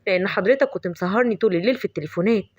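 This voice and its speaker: A woman voicing a hurried, impatient young man with an Egyptian Arabic accent who scolds in a bossy way. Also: medium pitch, darkish tone, no room sound, pitched below the performer's own voice, close to an everyday voice.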